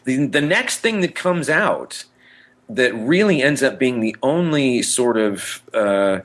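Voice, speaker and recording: A man's voice: medium pitch, animated, takes it slowly, fairly bright tone. Rambling, enthusiastic man chatting casually. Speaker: podcast co-host. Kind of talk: casual fan chat.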